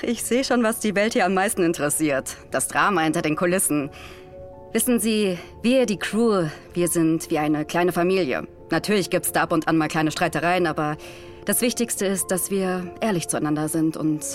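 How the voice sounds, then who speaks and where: An actress playing a sharp-tongued female astronaut in a scripted German audio drama. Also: lowish voice, normal speed, soft background music, natural voice. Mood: composed, public. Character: irritated, sharp-tongued female astronaut, composed and joking in public.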